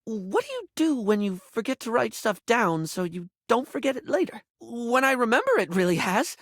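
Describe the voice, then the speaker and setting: A man's voice: extremely high pitch, very animated, moderate pace, no room sound, studio quality, heavily acted. A man voicing a flamboyant, scatterbrained, affectionate beauty-product character in a video game, with a theatrical, gushing and rambling delivery that stammers at times. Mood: flustered, chatty.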